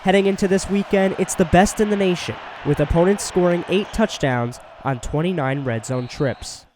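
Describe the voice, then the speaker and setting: Casting: young male sports broadcaster, early 20s, high voice, some background noise. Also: normal speed. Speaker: sports play-by-play broadcaster and reporter. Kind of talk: hockey play-by-play commentary and scripted sports news narration.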